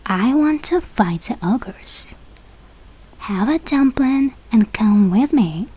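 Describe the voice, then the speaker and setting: A non-native English-speaking woman voicing a brave, polite young boy hero in a storybook, breathy, earnest and careful. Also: a little high, animated, slow pace, warm tone, heavily acted. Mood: friendly, determined. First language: not English.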